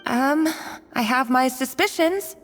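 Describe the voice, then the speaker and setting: Anxious guilty young cook girl, game character, highish voice, echoey with music. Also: lively intonation, slow. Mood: thoughtful, explaining. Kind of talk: video game voice acting.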